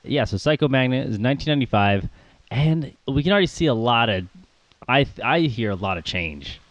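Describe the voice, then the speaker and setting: A man's voice: medium pitch, lively intonation, a little fast, no echo, highs cut off. A man co-hosting a casual podcast about music, rambling with plenty of fillers and little laughs. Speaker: podcast co-host.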